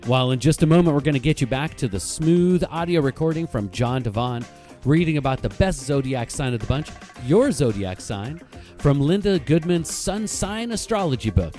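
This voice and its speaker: A man's voice: a little high, animated, moderate pace, warm tone. A man hosting a podcast, delivering a casual promotional announcement with guitar music behind him. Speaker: podcast host.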